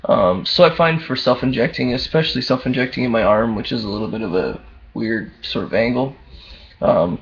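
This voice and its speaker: A young man with a very low voice, talking candidly in a casual video diary, full of ums and uhs. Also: expressive, fairly quick. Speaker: vlogger. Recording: dull lo-fi camera audio, faint hum.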